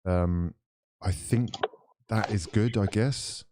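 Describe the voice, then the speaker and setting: Low-voiced chatty stream host. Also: male voice, slow pace, warm tone. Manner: chatty, reads viewer comments aloud, many fillers. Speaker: host of a live football chat stream. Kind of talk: casual live-stream chat.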